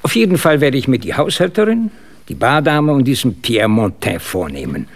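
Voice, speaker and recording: An actor playing an older, courteous English doctor who works as a determined amateur detective in a German radio play. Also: male voice, medium pitch, big pitch swings, normal speed, gravelly. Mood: earnest, determined. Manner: courteous, probing, old-fashioned politeness. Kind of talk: German radio drama acting.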